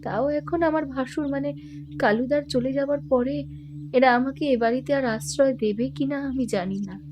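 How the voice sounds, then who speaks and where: Over a noticeably noisy, humming bed comes a meek widow played by an actress. Her voice is high, plaintive and subdued. She sounds like a poor, resigned mother in an audio detective drama. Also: normal speed, darkish tone, close to an everyday voice. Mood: sorrowful, resigned.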